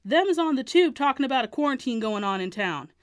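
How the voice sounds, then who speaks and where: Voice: high-pitched, lively intonation, fast, smooth, no echo, clean studio sound, voice pushed up. A reader voicing an angry, hard-drinking middle-aged father, hollering and scolding in a storybook reading. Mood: furious.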